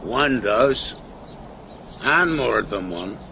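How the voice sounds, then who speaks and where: An actor playing a gossipy country farm man with a rural English accent and a slow, insinuating way of talking in a radio drama. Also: mid-range voice, animated, creaky, muffled recording, slight background noise, heavily acted. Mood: sly, knowing.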